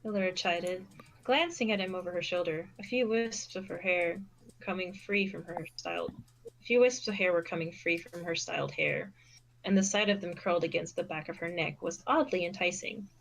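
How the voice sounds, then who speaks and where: A woman's voice: fairly low pitch, normal speed, creaky, a bit bright, rough recording. A woman reading a story aloud as narrator, now and then stumbling and repeating a phrase. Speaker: fan fiction reader and narrator.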